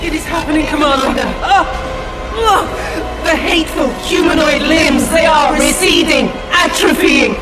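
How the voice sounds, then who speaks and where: A fierce young soldier, played by a woman, eager and defiant, with a strained edge. Her voice is very high. Loud battle music and the roar of a crowd fill the background, as in a sci-fi radio drama. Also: moderate pace, lightly acted, low-quality recording. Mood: anguished. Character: fierce young female trooper mutating into a monster. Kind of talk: audio drama acting. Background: loud battle music and crowd noise.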